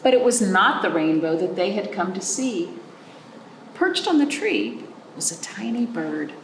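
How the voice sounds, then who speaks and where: A woman hosting a children's storytime, reading a picture book aloud expressively with dramatic pauses and stretched words. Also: mid-range voice, big pitch swings, gravelly. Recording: roomy echo, faint background noise.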